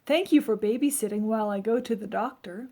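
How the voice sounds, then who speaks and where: A woman's voice: fairly high pitch, brisk, dark tone. A woman reading the part of a friendly, chatty expectant mother in a children's storybook.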